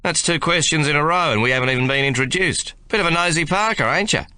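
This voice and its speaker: A man's voice: fairly high pitch, expressive, fast talker, pushed and bright, no room sound, pitched above the performer's own voice. A man voicing a brash young Australian soldier with a cheeky, drawling Australian accent in a storybook reading.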